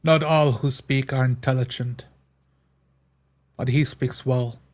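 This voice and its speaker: A man's voice: medium pitch, fairly even intonation, takes it slowly, lightly acted. A man playing a solemn, frugal dwarf who is doubtful and speaks in formal, terse phrases. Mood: wary.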